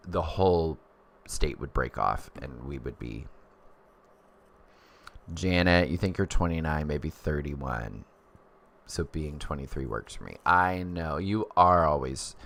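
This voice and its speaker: A man's voice: a bass voice, gravelly, bright, forceful tone. A man in his thirties chatting casually on a livestream, rambling as he reads viewer comments. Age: 30s. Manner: chatty and rambling, many fillers.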